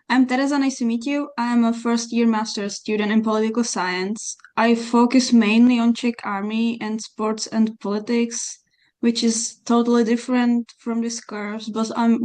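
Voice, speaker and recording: Bright-pitched, level-toned young woman. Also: steady tone, moderate pace. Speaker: master's student. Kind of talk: self-introduction.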